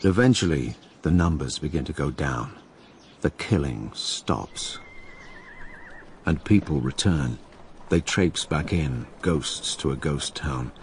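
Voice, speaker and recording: An actor playing a troubled, needy writer haunted by plague, morose and reflective, in a radio drama. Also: male voice, very deep voice, animated, slow pace, slight background noise, heavily acted. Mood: bleak, reflective. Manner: morose, self-pitying, reflective. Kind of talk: radio drama dialogue and narration.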